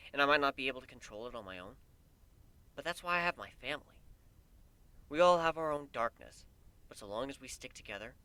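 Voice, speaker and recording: A man's voice: mid-range voice, sharp tone. A man voicing an angry, weary male griffin with an intense, emphatic delivery in a dramatic story reading. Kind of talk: dramatic fan fiction reading.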